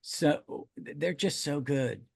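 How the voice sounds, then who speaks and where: A man's voice: a little high, slow pace, creaky, a little mellow, husky, no echo, clean studio sound. A man hosting a podcast in casual review chat, talking in a rambling, enthusiastic way. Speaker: podcast host.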